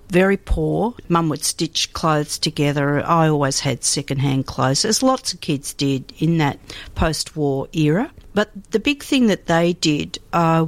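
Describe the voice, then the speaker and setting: An older Australian woman from Melbourne, a radio guest telling reflective stories about her life with pauses and restarts. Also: deep voice, dry recording. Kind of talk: conversational storytelling.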